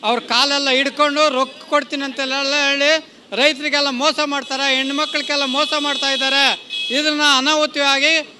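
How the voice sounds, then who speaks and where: A man's voice: very high voice, flat delivery, normal speed, background noise. A man, pleading and impassioned as he repeats his complaints in a street interview. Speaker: local resident pleading for farmers and the poor. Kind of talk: street vox pop complaint.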